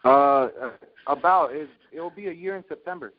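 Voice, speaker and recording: A man in his twenties giving a casual personal account on a group call, halting and slangy as he goes. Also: on the high side. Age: 20s. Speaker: call guest, young entrepreneur. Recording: muffled noisy conference call.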